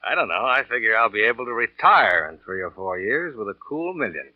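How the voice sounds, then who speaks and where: An actor playing a cynical, smooth-lying American salesman and narrator in a 1940s radio thriller, cool and offhand in manner. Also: lowish voice, big pitch swings, fairly quick, no room sound. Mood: boastful, offhand. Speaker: lead actor and first-person narrator. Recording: dull vintage radio recording with music.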